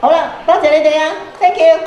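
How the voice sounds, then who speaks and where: A woman's voice: high voice, steady tone, fast, a little mellow, lo-fi sound. A middle-aged woman with a Hong Kong Cantonese accent, speaking as an encouraging workshop leader to an audience through a handheld microphone on a stage. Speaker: workshop speaker, radio programme producer. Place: school auditorium stage. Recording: echoey hall through PA microphone.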